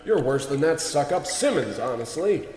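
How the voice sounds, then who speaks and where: A man's voice: on the high side, lively intonation, moderate pace, darkish tone, echoey room, big-room sound, slight background noise, close to an everyday voice. A man playing a pompous, self-absorbed animation tycoon in a comedy radio play. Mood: blustering.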